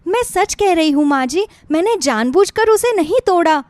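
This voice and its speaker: A woman's voice: very high voice, expressive, normal speed, a little mellow, no room sound, clean studio sound, pitched above the performer's own voice. A woman voicing an anxious young bride with a pleading, nervous, apologetic manner in a moral story. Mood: frightened. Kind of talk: Hindi moral story acting.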